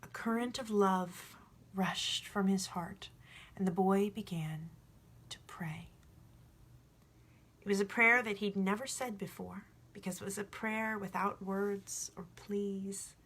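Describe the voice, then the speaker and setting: A woman reading a story aloud in an expressive, informal way, like a home storyteller. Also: mid-range voice, slow. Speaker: storybook reader. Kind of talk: book read aloud with informal asides.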